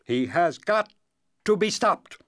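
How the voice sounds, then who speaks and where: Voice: medium pitch, expressive, takes it slowly, fairly bright tone, no room sound, clean studio sound, pitched above the performer's own voice. A storyteller voicing a blustering, flustered king who declares things emphatically and justifies himself. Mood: exasperated, declaring.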